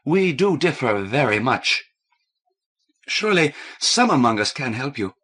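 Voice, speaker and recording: A man voicing a gentle, timid, warm-hearted young woman with an eager manner in an audiobook. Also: mid-range voice, sing-song, unhurried, pitched above the performer's own voice, exaggerated voice. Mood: earnest, caring. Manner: eager, stammering when upset.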